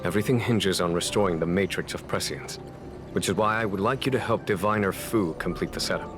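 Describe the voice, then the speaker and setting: Guy voicing calm shrewd leader in a video game, real deep, polite n formal, music under it. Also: lightly acted. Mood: composed. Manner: measured, formal, diplomatic. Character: composed, courteous, shrewd male military leader. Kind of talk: scripted video game dialogue.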